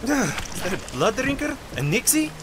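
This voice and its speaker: A man as a high-pitched, jumpy young adventurer. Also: sing-song, moderate pace, very noisy. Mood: alarmed, curious. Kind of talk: fantasy audio drama acting.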